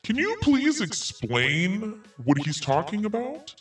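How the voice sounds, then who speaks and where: A man hosting a commentary podcast, reading messages aloud with biting sarcasm. His voice sits a little high and jumps around in pitch as he lingers over the words. He sounds set back from the microphone, with faint music underneath. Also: wildly swooping pitch, takes it slowly, distant mic. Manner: sarcastic, swearing, laughs through reading. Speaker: podcast host.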